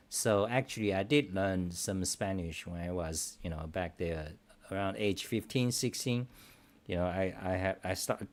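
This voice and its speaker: Blunt middle-aged man, low voice. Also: a little mellow. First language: Chinese. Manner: blunt, swears freely, loose broken phrasing. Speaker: livestream host answering viewer questions. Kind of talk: casual rambling chat.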